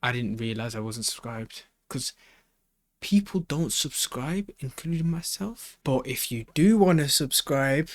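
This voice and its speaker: A man's voice: medium pitch, animated, unhurried, no echo. Male reaction host, informal and slangy, repeats himself, close dry sound. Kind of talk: casual reaction commentary.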